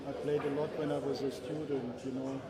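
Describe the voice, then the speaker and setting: A man in his fifties, a backgammon player, chatting casually at a tournament and pausing to search for words. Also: medium pitch, level tone. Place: tournament venue, indoors. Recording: echoey hall with loud crowd chatter.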